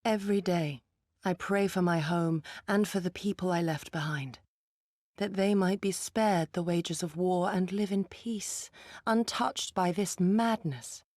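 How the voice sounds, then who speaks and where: A woman playing an earnest, dutiful ninja resistance fighter in a video game, speaking in a solemn, measured and formal way. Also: fairly low pitch, steady tone, a little mellow, dry recording, clean studio sound, close to an everyday voice. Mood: solemn, wistful. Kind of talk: dramatic video game acting.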